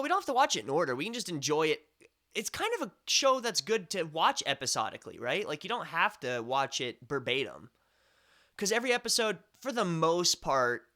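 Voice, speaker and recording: A man's voice: mid-range voice, a bit bright, clear, resonant tone. A young American man hosting a live stream at home, chatting in a rambling way with many fillers and restarts. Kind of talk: casual chat.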